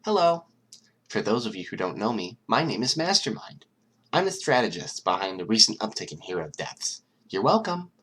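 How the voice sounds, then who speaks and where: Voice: medium pitch, sing-song, normal speed, fairly bright tone, breathy edge, voice pushed up. A reader doing a taunting young male villain mastermind. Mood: mock cheerful. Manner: mock-cheerful, taunting, turning deadly serious. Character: cheerful, menacing young male villain strategist addressing the world.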